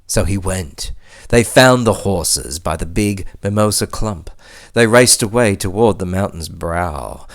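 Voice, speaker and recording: A low-pitched Australian man recites poetry with theatrical flair. His delivery is slow and his pitch moves widely, swelling on key phrases and sinking to hushed endings. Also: expressive. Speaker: poetry reader. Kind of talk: dramatic recitation of a bush ballad.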